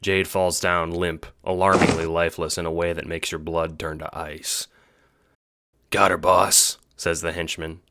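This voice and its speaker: Deep-voiced man narrating an audio drama. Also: monotone, moderate pace, few pauses, a bit bright. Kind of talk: dramatic scripted second-person narration.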